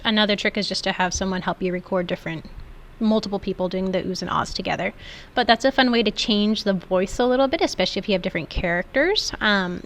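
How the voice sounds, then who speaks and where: A woman's voice: mid-range voice, a bit bright. A woman instructor giving an informal, step-by-step screen tutorial in an explanatory way. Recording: clearly noisy background.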